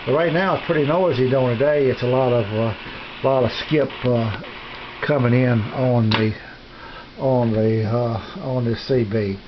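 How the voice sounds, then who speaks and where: A middle-aged or older man talks casually in a halting, folksy way, feeling out his sentences as he goes. He sounds like a hobbyist showing off his gear at home. The handheld camera audio is dull and lo-fi, with faint noise behind him. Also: mid-range voice, fairly even intonation. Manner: halting, hesitant, folksy delivery. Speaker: radio hobbyist presenting his equipment. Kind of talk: casual unscripted show-and-tell. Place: small home radio room. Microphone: handheld camera's built-in microphone.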